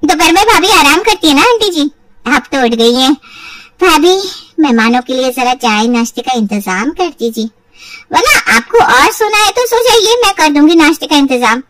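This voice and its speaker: A woman's voice: sits high, expressive, normal speed, a bit bright, dry recording, far from the mic, rough recording. A woman voicing a spiteful, whining married sister-in-law in a cartoon moral story, sarcastic and theatrical. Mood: sarcastic taunting.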